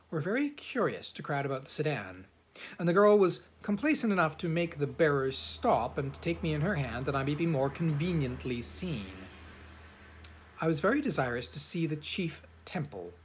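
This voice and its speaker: A man reading an old classic novel aloud as an amateur audiobook narrator, in a measured, formal storytelling manner with a husky voice. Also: on the high side.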